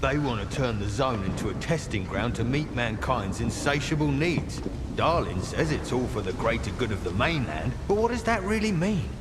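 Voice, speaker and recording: Impassioned male believer played by an actor, game cutscene: animated pitch, long echo, clear noise behind. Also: normal speed, natural voice. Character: idealistic, defiant believer in the zone's wonders. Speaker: video game character. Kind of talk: dramatic video game dialogue. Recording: long reverb, noisy background.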